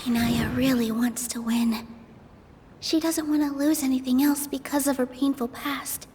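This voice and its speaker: An actress playing a gentle, loyal young dancer in a game dub, soft and sincere as she protects a friend. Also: high-pitched, fairly even intonation, normal speed, background noise, natural voice. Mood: hushed, sympathetic. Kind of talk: dramatic game dialogue.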